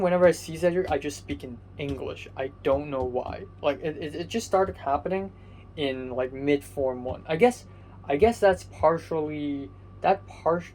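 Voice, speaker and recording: A man's voice: a little high, darkish tone, slight background noise, poor audio. Young man rambling through a story. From Hong Kong. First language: Cantonese. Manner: rambling, frequent fillers and restarts; swears casually. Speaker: vlogger. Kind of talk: casual storytelling monologue.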